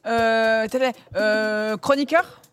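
A woman playing a guessing game, asking short yes-or-no questions in a brisk, eager way. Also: a little high, steady tone. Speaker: game contestant. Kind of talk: quick-fire guessing questions.

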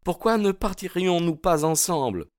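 A man's voice: high voice, expressive, normal speed, no room sound, clean studio sound, pitched above the performer's own voice. A man voicing an anxious young woman in love, speaking in an earnest, pleading way in an audiobook. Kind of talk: audiobook character dialogue.

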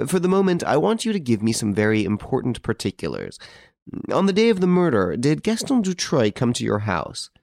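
A man voicing a confident, sly gentleman detective in an audiobook, good-humoured but emphatic. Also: medium pitch, huge pitch swings, brisk, gravelly, dry recording, clean studio sound, pitched above the performer's own voice. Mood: brisk, courteous.

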